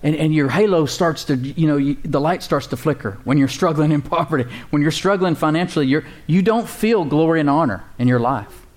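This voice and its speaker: A man's voice: a little high, a little fast, hardly any pauses, darkish tone. A male pastor preaching a sermon in a conversational, emphatic way, repeating words and chuckling now and then.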